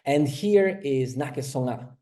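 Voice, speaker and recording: A man whose first language is Czech, giving an academic talk in slow, hesitant speech full of fillers and restarts. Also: medium pitch, lively intonation, warm tone. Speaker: lecturer.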